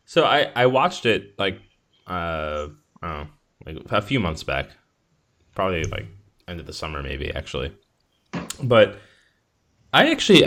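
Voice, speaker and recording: Guy with a lowish voice just chatting on a podcast, kinda rambly, thinks out loud. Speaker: podcast co-host. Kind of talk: casual chat.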